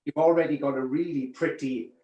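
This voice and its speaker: A man with a Welsh accent chats through a live wig showing, friendly and easygoing, calling viewers "my love". His voice is a touch high. The sound is roomy and echoey, like a video call from a bare room. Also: steady tone, a little fast, lo-fi sound. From Wales. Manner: warm, chatty, calls viewers "my love". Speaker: wig designer co-hosting a live stream. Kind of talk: casual live product demo.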